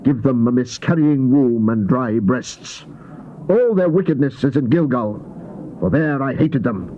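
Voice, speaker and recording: An actor playing a stern Old Testament prophet, solemnly declaiming scripture in a dramatized Bible reading. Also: male voice, fairly high pitch, dark tone, highs cut off, a little hiss or hum. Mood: grieving anger. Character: stern male Old Testament prophet proclaiming God's judgment. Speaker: scripture reader.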